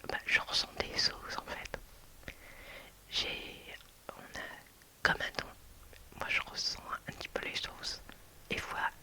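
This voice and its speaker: A woman whispering a personal story to her viewers as a video creator, hushed and hesitant, with many restarts and fillers. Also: low-quality recording.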